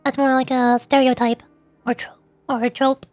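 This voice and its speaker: A woman's voice: sits high, little pitch movement, moderate pace, darkish tone, faint music behind. A young woman streaming live, chatting casually in a rambling, hesitant way full of fillers and restarts.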